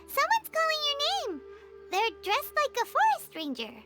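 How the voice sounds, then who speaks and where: A woman voicing a tiny, excitable, childlike female fairy companion in a video game, squeaky and dramatic. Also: moderate pace, faint music behind, heavily acted. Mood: eager, chirpy. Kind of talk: English video game voice acting.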